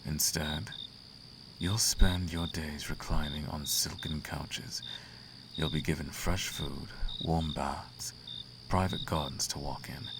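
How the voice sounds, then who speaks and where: A man playing a seductive, imperious demon prince in a flirtatious roleplay ASMR, speaking in a courtly, teasing manner with a creaky low voice. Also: steady tone, takes it slowly, heavy background noise, full character voice. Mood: seductive, indulgent.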